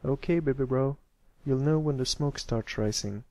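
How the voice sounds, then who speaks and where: A man voicing a calm, caring older brother pony who gives patient advice in a storybook reading. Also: medium pitch, moderate pace, no echo, voice pushed up, lightly acted. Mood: fond. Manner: patient, advising.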